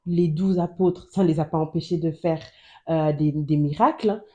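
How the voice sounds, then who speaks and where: Earnest woman sharing faith, mellow low voice. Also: fairly even intonation, moderate pace. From Germany. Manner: earnest, repeats phrases for emphasis. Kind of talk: personal testimony and Bible teaching.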